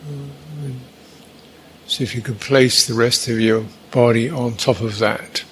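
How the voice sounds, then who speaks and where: A man teaching a guided meditation, speaking in short, halting phrases with long pauses between them. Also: medium pitch, steady tone, fairly bright tone, slight background noise, low-quality recording.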